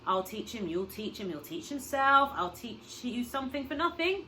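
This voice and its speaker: A woman voicing an excitable, persuasive father from a children's story, breathless and emphatic with big plans. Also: fairly high pitch, normal speed, a little hiss or hum, pitched above the performer's own voice. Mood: persuasive, excited.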